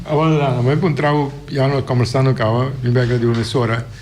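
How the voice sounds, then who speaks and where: A man of middle pitch hosts a radio interview, feeling his way through questions with stops and repeats. A faint hiss runs under his voice. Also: steady tone. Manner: hesitant, halting questions. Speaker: radio host. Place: radio studio.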